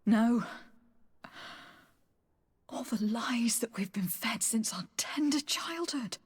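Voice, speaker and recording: A woman's voice: on the high side, lively intonation, slow pace, slightly rough, full character voice. An actress playing a resolute, caring young noblewoman in a fantasy game, speaking earnestly and formally. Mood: hurt, bewildered. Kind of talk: dramatic fantasy game acting.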